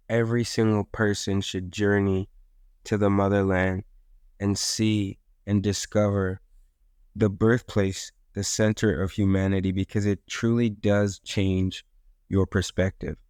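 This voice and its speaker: A man from South Africa, an educator and podcast co-host, speaking in an earnest, measured way. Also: lowish voice, darkish tone, smooth, dry recording, clean studio sound. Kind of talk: reflective podcast monologue.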